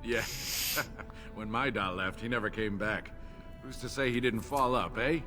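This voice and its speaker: An actor playing a naive, friendly, poor dwarf in a fantasy game, simple and wondering with folksy speech. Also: male voice, fairly low pitch, a little slow, music in the background, natural voice.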